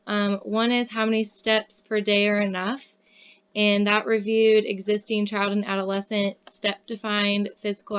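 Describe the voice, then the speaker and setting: A woman's voice: medium pitch, clear, resonant tone, muffled recording. A young woman from Kansas City, a student giving a class presentation, reading from notes with frequent "um" fillers. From Kansas City, Missouri, USA. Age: young adult.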